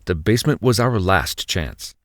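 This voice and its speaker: An actor playing a desperate husband, narrating a survival horror audio drama with intense, halting suspense. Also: male voice, low-pitched, expressive, background noise. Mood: grim suspense. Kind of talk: dramatic first-person horror narration and dialogue. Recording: music under the voice. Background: music.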